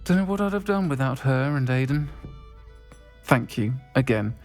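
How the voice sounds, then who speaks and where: An actor playing a kindly, reflective, grateful man in a video game, with a gentle, understated, articulate manner. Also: mid-range voice, expressive, normal speed, soft background music, natural voice.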